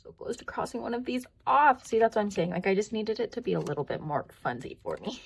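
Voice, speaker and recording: A woman vlogger talking casually and thinking aloud as she works, her voice croaky and tired from long talking. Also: medium pitch, very animated, fast talker. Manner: rambling, thinking aloud, counting to herself. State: voice tiring after long filming. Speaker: budgeting vlogger. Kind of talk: casual chatty hands-on narration.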